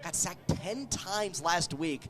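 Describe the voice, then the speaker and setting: A man's voice: high-pitched, lively intonation, takes it slowly. A man doing live American football play-by-play as a radio announcer, following the action in clipped, vivid phrases. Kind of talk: live sports play-by-play commentary.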